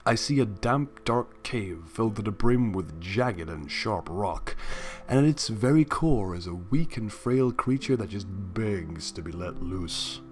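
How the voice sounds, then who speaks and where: A man reading a first-person fan fiction story aloud with expressive narration. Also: fairly low pitch, unhurried.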